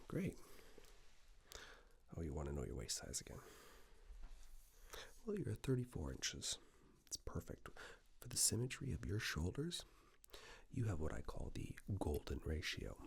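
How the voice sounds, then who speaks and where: Male ASMR roleplayer, very low gravelly register, breathy and half-whispered, slow reassuring tailor patter on a close mic. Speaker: ASMR performer playing a tailor taking measurements. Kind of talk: soft-spoken ASMR roleplay. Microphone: close binaural microphone.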